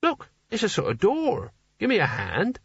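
An eager young would-be hero from a comic fantasy audiobook, acted out by a man. His voice sits high and moves about animatedly. He is polite, earnest and a touch tentative, with a husky edge. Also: huge pitch swings, normal speed, no room sound, highs cut off, heavily acted. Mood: eager, urging. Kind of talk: audiobook character dialogue.

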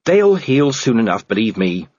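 A man voicing a tightly controlled young werewolf woman who speaks low, level and muttering. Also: very animated, normal speed, a little mellow, lo-fi sound. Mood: tense.

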